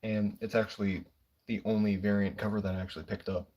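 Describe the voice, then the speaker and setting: Quiet young guy streaming, kinda husky, laid back and rambly. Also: fairly low pitch, fast talker. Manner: quiet, relaxed, rambling with many fillers. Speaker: comic book streamer. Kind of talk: casual livestream chat.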